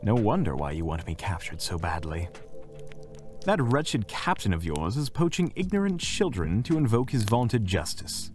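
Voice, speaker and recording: A man's voice: on the low side, very animated, moderate pace, a little mellow. A man playing a bitter, proud villain in a fantasy audio drama, speaking in a theatrical, sardonic way. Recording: music behind the voice.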